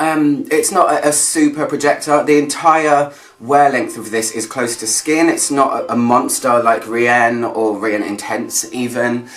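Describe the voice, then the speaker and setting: A man's voice: mid-range voice, a bit bright. Echoey, chatty male fragrance reviewer. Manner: chatty, descriptive, thinking aloud. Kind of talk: casual vlog review. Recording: echoey room, distant mic, faint music.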